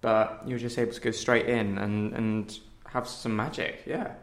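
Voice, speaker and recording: A man's voice: fairly low pitch, little pitch movement, normal speed. A man talking informally as a director being interviewed, explaining his work in a conversational way. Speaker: theatre director, interview guest.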